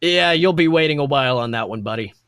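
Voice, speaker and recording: A man reads as a gentle, sheepish guy in a story read aloud. He is earnest and plain-spoken, hesitating as he goes, low-key next to livelier characters. The sound is close and dry. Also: animated, quick pace, clear, resonant tone, dry recording. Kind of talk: fan fiction read aloud with character voices.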